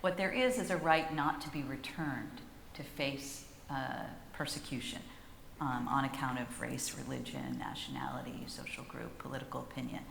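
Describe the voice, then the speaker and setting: A woman with a low voice explains policy to a panel, measured and deliberate, often pausing on fillers. Her voice rings through a large hall with a long, roomy reverb and faint ambience behind it. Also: lively intonation, creaky, fairly bright tone, a little hiss or hum. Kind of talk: panel discussion.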